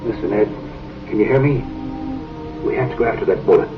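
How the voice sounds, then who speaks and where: An actor playing a stern, suspicious frontier sheriff who gives curt, gruff orders, heard on muffled old film audio. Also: male voice, lowish voice, fairly quick, far from the mic, music in the background, natural voice.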